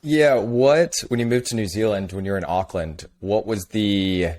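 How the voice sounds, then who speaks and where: A man hosting a podcast with a low, deep voice. He asks questions in a curious, easygoing way, keeping the chat loose. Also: normal speed, darkish tone. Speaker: podcast host. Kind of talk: casual interview questions.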